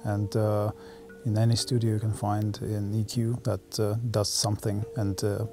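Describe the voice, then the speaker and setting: A man, explaining in halting, choppy phrases as an interview guest. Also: on the low side, monotone, over music. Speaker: product manager, interview guest. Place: studio room with curtains and audio gear.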